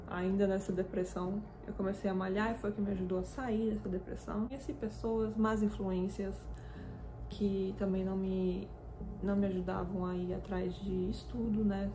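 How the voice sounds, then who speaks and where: A woman in her twenties from Brazil, with a Brazilian accent, telling her own story to camera in a casual, animated way. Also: mid-range voice, noisy background. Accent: Brazilian Portuguese. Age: 20s. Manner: chatty and animated, with frequent hand gestures. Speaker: fitness vlogger. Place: small indoor room with a desk and lamp.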